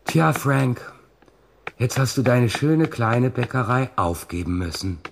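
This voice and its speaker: A man's voice: mid-range voice, lively intonation, slow pace, creaky, background noise. An actor in a German radio play voicing a frustrated, brooding middle-aged husband who mutters to himself about his model trains. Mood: absorbed, wistful.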